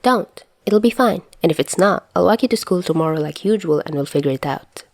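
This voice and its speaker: A woman's voice: lowish voice, animated, brisk, no room sound. A woman voicing a playful, teasing but caring teenage boy in a story reading. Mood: reassuring.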